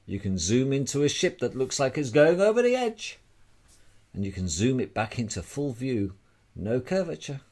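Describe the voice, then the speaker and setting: A man's voice: medium pitch, big pitch swings, normal speed, darkish tone. A man giving an informal, emphatic explanatory monologue as an amateur presenter, repeating phrases and posing rhetorical questions.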